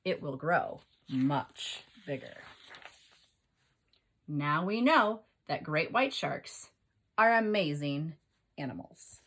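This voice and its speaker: Expressive woman teacher with a husky voice. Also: low voice, slow, pauses often. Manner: instructional, addresses listeners with questions and prompts. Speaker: teacher reading a children's book aloud. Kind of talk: expressive read-aloud and lesson for young children.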